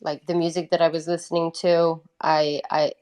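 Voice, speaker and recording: Low-pitched woman, chatting casually. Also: flat delivery, moderate pace, darkish tone. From Italy. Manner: informal, frequent "you know" and "like", thinking aloud. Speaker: guest, independent singer-songwriter. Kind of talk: casual conversational interview storytelling.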